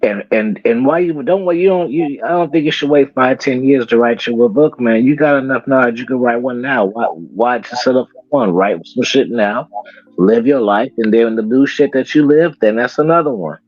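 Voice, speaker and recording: A man hosting a casual interview, relaxed and slangy, stumbling over restarts, on dull, dry lo-fi audio. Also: medium pitch, normal speed, no room sound. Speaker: interview host.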